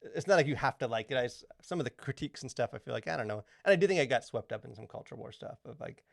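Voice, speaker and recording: A man's voice: medium pitch, expressive, rapid-fire, gravelly, no room sound, studio quality. A man co-hosting a podcast, talking casually in a rambling way, full of fillers and restarts. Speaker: podcast co-host. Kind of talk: casual chat.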